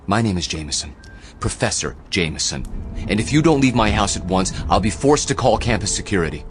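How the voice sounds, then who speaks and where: An actor playing a guarded, commanding professor in a radio drama, speaking in a formal, stern, clipped manner. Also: male voice, fairly low pitch, expressive, brisk, close to an everyday voice. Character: guarded, commanding male history professor hiding his past. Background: music.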